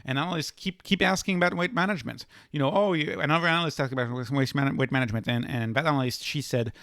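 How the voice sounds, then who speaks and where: A man's voice: fairly high pitch, fast talker, gravelly, a bit bright, dry recording, clean studio sound. A man hosting an investing channel, giving informal financial commentary in an emphatic way, repeating key phrases for stress. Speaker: host of a stock investing channel.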